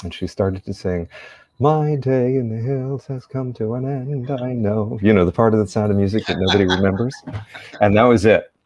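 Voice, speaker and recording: A man's voice: lowish voice, normal speed, a little mellow, no echo, dull audio. An older man from San Diego, a theater actor, chatting as a guest and telling stories in a reflective, rambling way. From San Diego, United States. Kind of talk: casual interview chat, storytelling.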